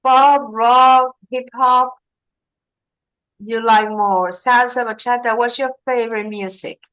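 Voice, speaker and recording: A woman's voice: fairly high pitch, steady tone, slow, fairly bright tone, rough recording. A woman teaching English, patiently prompting and correcting her student. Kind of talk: online English lesson.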